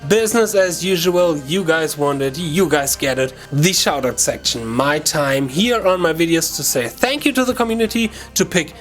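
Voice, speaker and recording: A man with a German accent hosting a guitar lesson, talking to viewers in an informal, chatty way with halting self-corrections. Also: fairly high pitch, animated, a bit bright. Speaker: guitar teacher and video channel host. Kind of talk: casual video lesson talk to viewers.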